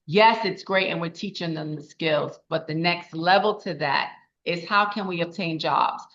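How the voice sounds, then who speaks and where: Woman, middle-aged, raspy, tired-sounding, enthusiastic, chatty, muffled lo-fi recording. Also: fairly low pitch, normal speed, fairly bright tone. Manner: enthusiastic, chatty, with frequent restarts and laughs. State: tired voice after a long day. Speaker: education official giving a report at a council meeting. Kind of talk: informal spoken report at a public meeting. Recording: dull lo-fi meeting recording.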